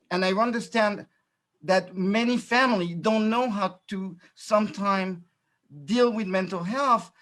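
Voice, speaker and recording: Male candidate, high voice, earnest and a bit halting, speaking over a video call. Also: a bit bright. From France, New York and Dominican Republic. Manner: earnest, halting with frequent fillers. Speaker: political candidate. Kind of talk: candidate forum remarks.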